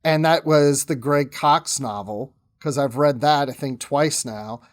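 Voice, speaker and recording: A man in a casual podcast discussion, his voice sitting a touch higher than most men's. He speaks conversationally, working out his points aloud as a guest in the chat. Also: a little slow.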